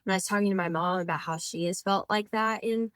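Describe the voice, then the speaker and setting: A woman in her twenties talks as a podcast guest, circling her thoughts with "like" and quick self-corrections. Her voice sits at an average pitch. The sound is dry and close, with no room to it. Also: a bit bright, no room sound. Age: 20s. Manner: chatty, rambling, frequent "like" fillers and self-corrections. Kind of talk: casual conversational interview.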